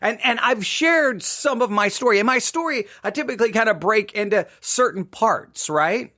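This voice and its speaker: A man's voice: high voice, expressive, moderate pace, pushed and bright. A middle-aged man from Texas hosting a podcast, telling a personal story in an emphatic, repetitive monologue. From Texas, United States. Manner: emphatic, repetitive, seeking agreement with "right?". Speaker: podcast host.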